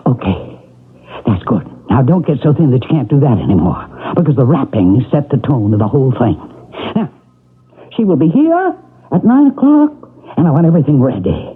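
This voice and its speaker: A husky, bossy fake medium. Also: male voice, mid-range voice, big pitch swings, moderate pace. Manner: bossy, coaxing. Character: scheming male fake medium coaching an assistant. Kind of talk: 1970s radio drama acting. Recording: tinny old radio broadcast.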